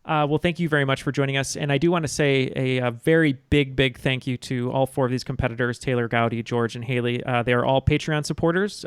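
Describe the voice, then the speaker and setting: A man's voice: medium pitch, clean tone, no room sound. Chatty man hosting a trivia podcast. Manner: chatty, many "uh" fillers. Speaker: podcast host. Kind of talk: casual quiz show hosting. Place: home, Chicago.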